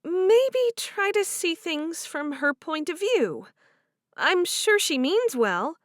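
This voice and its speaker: A woman voicing a kind young woman who gently reassures a friend. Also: extremely high pitch, very animated, slow pace, no room sound, clean studio sound, voice pushed up, exaggerated voice. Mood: reassuring.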